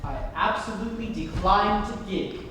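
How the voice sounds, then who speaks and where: A young woman playing a stubborn, indignant gentleman guardian in a stage comedy reading, formal and earnest in delivery. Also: medium pitch, normal speed, close to an everyday voice. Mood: stern. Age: young adult. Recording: echoey noisy hall from far off.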